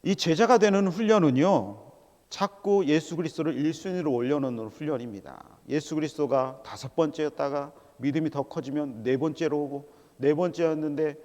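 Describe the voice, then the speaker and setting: A middle-aged man preaching a sermon as a pastor, animated and acting out quoted voices, calling out to the congregation. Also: fairly high pitch. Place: large church sanctuary. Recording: long hall reverb of a church.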